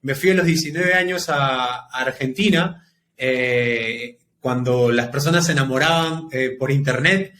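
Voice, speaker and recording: A man's voice: medium pitch, a bit bright, slight background noise. A man, a guest on a podcast, reflecting on his past in an easy conversational way. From Peru. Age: 30s. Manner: reflective, talks in long unhurried stretches. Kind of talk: casual interview storytelling.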